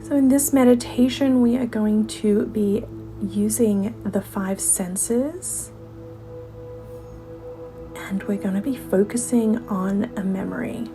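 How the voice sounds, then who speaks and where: A woman guides a meditation in a very slow, mellow voice over music. She speaks softly, with gentle pauses, and her phrases often thin out toward a breathy whisper. The delivery is soothing and instructional, like a yoga class winding down. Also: mid-range voice.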